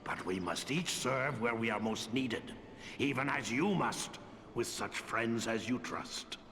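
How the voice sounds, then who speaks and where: An actor playing an older, bearded dwarf lord in a fantasy game, hearty and grave, with gravelly, emphatic, old-fashioned speech. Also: male voice, medium pitch, measured pace, a bit bright, close to an everyday voice. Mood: earnest, urgent. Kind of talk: fantasy video game character acting. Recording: reverberant hall, faint background noise.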